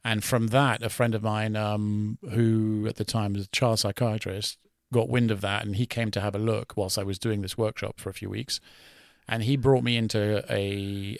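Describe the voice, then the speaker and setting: A middle-aged British-accented man from London, French his first language, speaks low and fairly level, with little rise and fall. He tells stories as a guest in a soft, relaxed way, recorded dry and close. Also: creaky, no room sound. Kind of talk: conversational interview.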